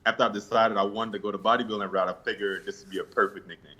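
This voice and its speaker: A young man from Guyana answering interview questions in a relaxed, laid-back way with hesitant fillers. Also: on the low side, very fast, dull audio. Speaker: interview guest, bodybuilder.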